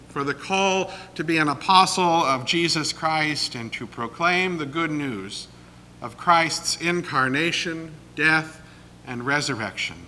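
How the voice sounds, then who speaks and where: A male pastor preaching a sermon in a slow, deliberate way. He is getting over a cold and sounds a little croaky, clearing his throat now and then. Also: a little high, pushed and bright, a little hiss or hum. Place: church sanctuary. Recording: long reverb of a church sanctuary.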